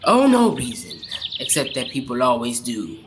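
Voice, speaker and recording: A woman's voice: rumbling low, sing-song, moderate pace, noisy background. A woman acting a bitter, cursed undead sea captain with archaic, menacing speech in a radio drama. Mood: ominous, wary.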